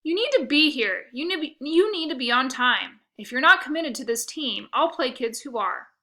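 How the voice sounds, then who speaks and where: A woman's voice: sits high, expressive, moderate pace, bright, forceful tone, pitched above the performer's own voice, full character voice. A woman reading a children's storybook, voicing a stern male soccer coach with a barking, commanding manner. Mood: stern, scolding. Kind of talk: children's storybook read-aloud.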